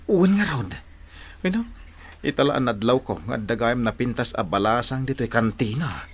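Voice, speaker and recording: A man's voice: mid-range voice, animated, normal speed, background noise, close to an everyday voice. A man playing a flirtatious, evasive married man in a radio drama, playful and stammering out excuses. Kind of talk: Ilocano radio drama acting.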